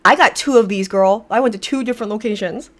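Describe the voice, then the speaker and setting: A woman's voice: mid-range voice, fast. A woman co-hosting a casual podcast with her sister, chatty and full of fillers, often saying "girl.". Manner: chatty, says "girl" a lot. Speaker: podcast co-host. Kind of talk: casual chat between sisters.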